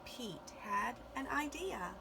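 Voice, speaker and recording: Female storybook reader: unhurried, expressive, some vocal fry, noticeable background noise. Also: fairly high pitch. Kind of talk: expressive children's read-aloud narration.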